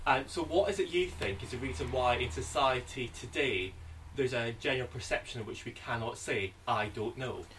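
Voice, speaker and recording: A man in an audience asking a question in halting, tentative phrases, picked up quietly from far off with faint noise. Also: mid-range voice. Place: audience at live bookshop event.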